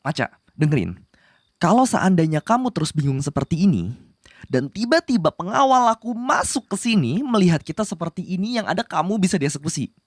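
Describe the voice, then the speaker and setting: A woman's voice: fairly low pitch, sing-song, a little fast, no room sound, clean studio sound. A woman playing a possessive, lovestruck young male demon prince, bossy yet flustered, in a romantic roleplay spoken to the listener. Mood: earnest, coaxing. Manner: insistent and flustered, pleading between commands. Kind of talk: romantic ASMR roleplay acting addressed to the listener.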